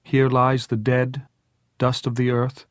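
A man playing a solemn mourner reciting scripture in precise, measured, pleading lines for an audiobook. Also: mid-range voice, completely flat delivery, no room sound, studio quality, pitched above the performer's own voice, close to an everyday voice. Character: solemn man reciting a psalm over a grave.

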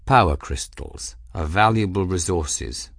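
A man voicing a composed, formal captain who speaks courteously and smoothly. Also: deep voice, animated, unhurried, fairly bright tone, no room sound, studio quality, exaggerated voice. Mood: formal, guarded.

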